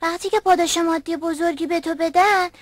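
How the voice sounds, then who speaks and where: A woman's voice: very high-pitched, steady tone, dry recording. A woman voicing an eager, encouraging young boy, a school friend in a dubbed educational cartoon.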